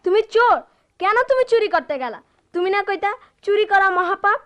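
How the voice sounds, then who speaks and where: An actress playing a wounded, reproachful young daughter in a radio drama, with a rural Bangladeshi accent. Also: female voice, very high-pitched, lively intonation, a little fast, a bit bright. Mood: hurt, accusing. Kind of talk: Bengali radio play acting.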